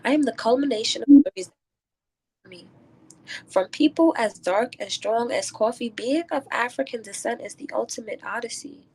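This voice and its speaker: High-voiced woman, spoken word poet. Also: normal speed, darkish tone, breathy edge, low-quality recording. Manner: declamatory, rhyming delivery when reciting. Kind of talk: rhythmic spoken word poetry and casual talk.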